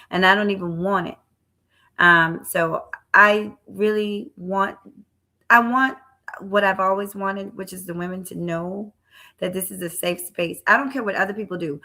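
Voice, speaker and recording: A woman's voice: medium pitch, bright, forceful tone. A woman in her forties hosting a live stream, chatting casually with frequent restarts and repeated words. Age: 40s. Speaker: live-stream show host.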